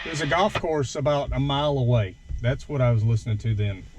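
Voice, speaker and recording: A man's voice: mid-range voice, fairly even intonation, brisk, darkish tone, rough recording. A man giving a casual, informal, self-deprecating hands-on video walkthrough from inside a truck. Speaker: vlogger demonstrating his gear. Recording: clear background noise in a vehicle.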